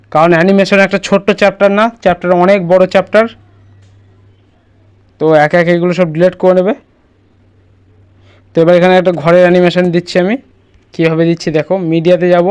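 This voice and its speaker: High-voiced man giving a quick tutorial. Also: darkish tone, clean tone. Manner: instructional, often checks listener follows. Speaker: tutorial presenter. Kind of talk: casual step-by-step screen tutorial.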